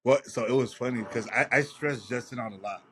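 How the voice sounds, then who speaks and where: A man, a bodybuilder co-hosting a podcast, chatting in a relaxed, teasing way. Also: medium pitch, fairly quick, slightly rough. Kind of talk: casual chat.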